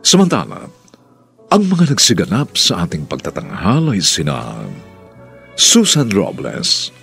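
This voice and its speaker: A man's voice: deep voice, wildly swooping pitch, measured pace, creaky, fairly bright tone, soft background music. A man announcing a radio drama in a formal, scripted, emphatic and theatrical style.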